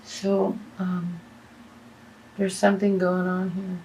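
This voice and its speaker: A woman giving a tarot reading, losing her voice, talking in a halting way with many fillers and restarts. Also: on the low side, flat delivery, mellow, a little hiss or hum, poor audio. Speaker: tarot reader.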